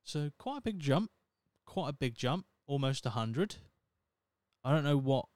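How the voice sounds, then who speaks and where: A man's voice: medium pitch, very animated, unhurried, darkish tone, no room sound. A thirty-year-old man, rambling on his solo podcast in a wry, self-mocking way with plenty of swearing. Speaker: podcast host. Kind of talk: casual solo podcast monologue.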